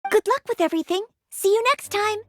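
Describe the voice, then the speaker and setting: A woman's voice: extremely high pitch, quick pace, faint music behind. A woman playing a polite, eager young maid knight in a video game, courteous and earnest. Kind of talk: video game character acting.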